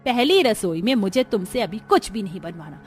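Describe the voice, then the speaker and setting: A woman's voice: medium pitch, very animated, normal speed, faint music behind, natural voice. A woman playing a bossy, disapproving middle-aged mother-in-law in a cartoon family drama, scolding with sarcasm and drama. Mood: stern.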